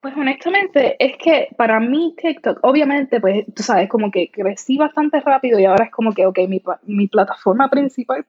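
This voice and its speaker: A young woman with a strong Puerto Rican accent, chatting casually as a guest in a relaxed, informal way with English words mixed in. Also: on the high side, moderate pace, few pauses, slightly rough, no room sound, muffled recording. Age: young adult. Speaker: social media creator, interview guest. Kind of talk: casual chat.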